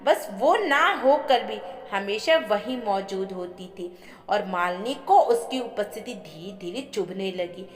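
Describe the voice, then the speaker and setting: A woman's voice: medium pitch, lively intonation, a little slow, soft background music. A woman narrating a scripted, emotional family story as a storyteller. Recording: echoey with long reverb.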